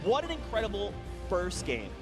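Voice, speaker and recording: A man casting a live video game tournament, excitable and shouting at big plays, going hoarse. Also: high-pitched, normal speed, over music. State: losing his voice. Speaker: esports caster. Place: live arena event.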